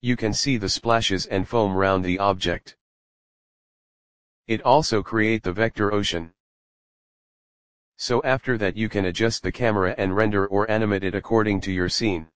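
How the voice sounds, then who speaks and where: A man narrating a software tutorial voice-over in short, clipped step-by-step instructions with simple grammar. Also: on the low side, moderate pace, pauses often, no echo.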